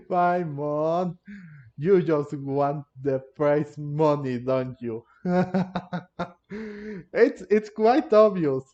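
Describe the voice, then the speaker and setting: A man's voice: a little high, very slow, pauses often, mellow, lo-fi sound. A man giving casual playthrough commentary on a game, thinking aloud hesitantly and repeating phrases. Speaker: gaming content creator.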